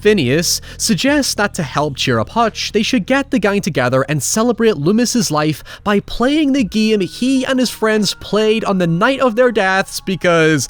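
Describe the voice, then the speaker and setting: Animated, higher-voiced man narrating a video essay. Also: unhurried, hardly any pauses. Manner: wry, sardonic asides with casual swearing. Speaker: host of a film retrospective show.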